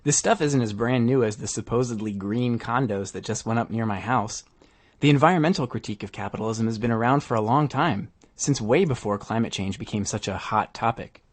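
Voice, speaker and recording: A man's voice: mid-range voice, fairly quick, dry recording. A man reading scripted narration as a podcast host.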